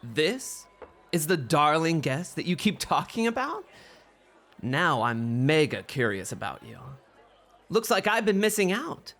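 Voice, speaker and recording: Male actor as bubbly, teasing bunny club host for ASMR roleplay; high-pitched, very animated delivery. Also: measured pace, heavily acted. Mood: curious, playful. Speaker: guest voice actor in an audio roleplay.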